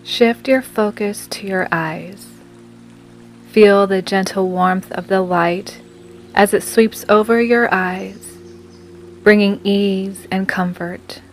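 Female meditation guide, medium pitch, very unhurried soothing scripted read over music. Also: steady tone. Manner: slow, soothing, measured delivery with long pauses. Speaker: meditation guide and podcast host.

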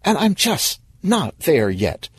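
Man voicing a frank young woman, pitched up. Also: huge pitch swings, takes it slowly, slightly rough, dry recording, full character voice. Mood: reluctant, apologetic.

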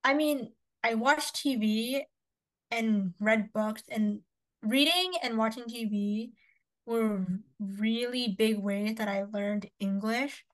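Chatty young woman, higher voice. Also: takes it slowly, low-quality recording. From Canada. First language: Cantonese. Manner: chatty, upbeat, lots of fillers. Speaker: podcast co-host. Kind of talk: casual chat.

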